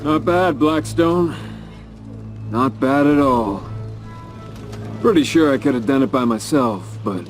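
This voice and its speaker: Behind loud music, a man acts out a battle-hardened soldier from a video game. His pitch is average for a man. He talks bluntly, with the wry confidence of a cocky comrade. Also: slow, long pauses, close to an everyday voice. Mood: wry, teasing. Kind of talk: dramatic game cutscene acting.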